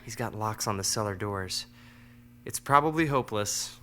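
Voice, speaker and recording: An actor playing a wry, resourceful captive teenage younger brother in an audio drama, dry and matter-of-fact. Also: male voice, fairly low pitch, lively intonation, normal speed, pushed and bright. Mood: practical.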